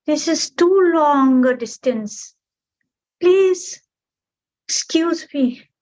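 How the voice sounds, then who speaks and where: A woman voicing a small, chatty traveling bird with a high, sing-song, pleading voice. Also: animated, drawn-out words, a little mellow, heavily acted. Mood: weary. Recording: dull lo-fi recording.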